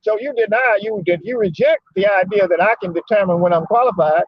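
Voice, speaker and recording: A man's voice: high-pitched, fairly quick, no echo. A man gives an informal religious talk full of personal storytelling, speaking in a folksy, conversational way and repeating phrases for emphasis. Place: parked in a lot, talking remotely. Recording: dull lo-fi audio.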